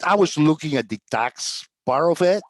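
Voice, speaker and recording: A middle-aged man from Cuba, a tax agent talking as a guest on a video call in a relaxed, rambling way with fillers and chuckles. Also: fairly high pitch, moderate pace, no echo, clean studio sound. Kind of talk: casual video-call interview.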